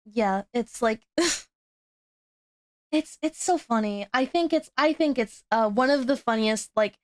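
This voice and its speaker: A young woman with a rather high voice chats with a guest on her stream. She stutters, repeats words and rambles, and she laughs at herself often. The sound is dry and close, with no room around it. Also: measured pace, no room sound. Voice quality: stutters, with frequent repeats and restarts. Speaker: VTuber streamer hosting a guest. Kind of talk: casual chat.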